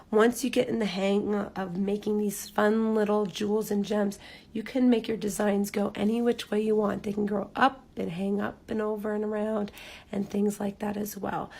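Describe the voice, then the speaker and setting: Raspy hoarse lady doing a live rock painting tutorial, gravelly, lots of ums, goes quiet n whispery while she draws. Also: medium pitch. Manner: chatty, relaxed, frequent ums, drops to near-whisper while focusing. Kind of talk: live-streamed craft tutorial.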